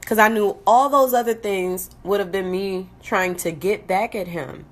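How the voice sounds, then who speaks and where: A woman telling a personal story casually and candidly, blunt and profane, with lots of slang and animated acting-out of her reactions. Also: medium pitch, unhurried. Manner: animated, acts out quoted reactions. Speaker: storyteller hosting own vlog.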